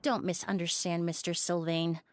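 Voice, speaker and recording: An actress playing a polite, determined young woman in a video game, with a measured and earnest manner. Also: lowish voice, little pitch movement, noisy background, lightly acted. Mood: firm, courteous.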